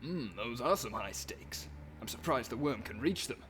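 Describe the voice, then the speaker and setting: A man's voice: medium pitch, expressive, moderate pace, a bit bright, soft background music, close to an everyday voice. A man playing an earnest, exasperated professor explorer in a comic puppet musical, with a stammering, flustered delivery. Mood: earnest, dry.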